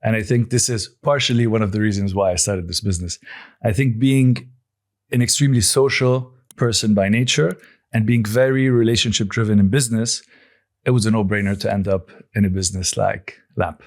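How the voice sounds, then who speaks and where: A man in his forties, born and raised in Lebanon, answering podcast questions as a company founder in a measured, thoughtful way with frequent pauses and restarts. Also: lowish voice, fairly even intonation. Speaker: guest, coffee company founder.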